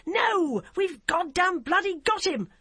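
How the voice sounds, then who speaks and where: A woman's voice: extremely high pitch, animated, slow, a bit bright, voice pushed up, heavily acted. A woman voicing a ruthless, driven female police chief inspector who barks orders and swears harshly. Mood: fierce.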